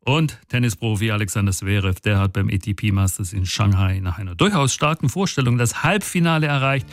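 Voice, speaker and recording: A man delivering a radio sports report in a brisk, conversational studio manner. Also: medium pitch, no echo. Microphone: studio microphone.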